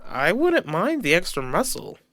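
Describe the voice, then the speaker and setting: A man playing an easygoing, flippant man who shrugs off danger in an animated soap opera. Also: sits high, unhurried, vocal fry, dry recording, studio quality, exaggerated voice. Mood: breezy, reassuring. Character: easygoing joking man, recovering from an attack.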